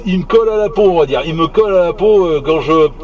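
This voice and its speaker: Hesitant man, fairly high voice, on CB radio. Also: fast talker, highs cut off. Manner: hesitant, searching for words, repeats himself. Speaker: CB radio hobbyist. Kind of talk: casual radio chat.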